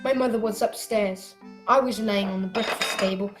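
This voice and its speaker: A woman's voice: medium pitch, fairly even intonation, normal speed, music in the background, close to an everyday voice. A woman playing a polite, earnest young boy who narrates his adventure in a radio play. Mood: calm storytelling.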